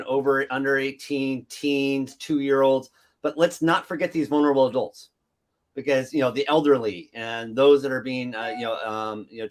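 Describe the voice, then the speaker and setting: A man's voice: medium pitch, slight background noise. Rambling middle-aged man moderating. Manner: loose, rambling with many restarts and fillers. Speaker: panel moderator. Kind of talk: conference panel discussion.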